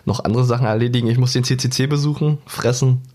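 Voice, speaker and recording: A man's voice: medium pitch, steady tone, quick pace, a little mellow. A man co-hosting a podcast, telling joking, ranting anecdotes in casual chat. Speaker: podcast co-host.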